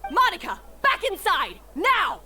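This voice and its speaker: A woman's voice: very high-pitched, huge pitch swings, a little slow, bright, forceful tone, a little hiss or hum, exaggerated voice. A woman playing a harsh, short-tempered head chef in a video game, barking cutting orders. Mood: angry, commanding.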